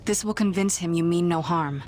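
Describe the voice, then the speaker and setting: An actress playing an earnest chief's daughter of a frontier tribe in a video game, composed and stiffly formal. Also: female voice, lowish voice, a little fast, darkish tone, slight background noise, natural voice. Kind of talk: video game character dialogue.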